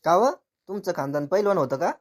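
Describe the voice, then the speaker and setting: A man's voice: high-pitched, brisk. A man voicing a dim, whiny, crude young bridegroom in a cartoon comedy, with a rural Maharashtrian accent. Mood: complaining. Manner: naive, complaining.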